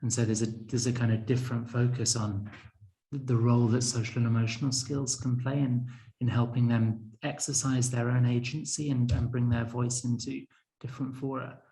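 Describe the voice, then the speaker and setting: A man's voice: mid-range voice, flat delivery, normal speed, dark tone, poor audio. A man moderating a panel over a video call, polite and hesitant, with many restarts.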